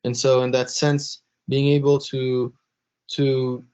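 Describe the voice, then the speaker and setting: A young man, half French and half Lebanese, answering interview questions haltingly with many fillers and restarts. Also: mid-range voice, level tone, a little mellow, smooth. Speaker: interview guest, blockchain executive. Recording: slightly dull podcast audio.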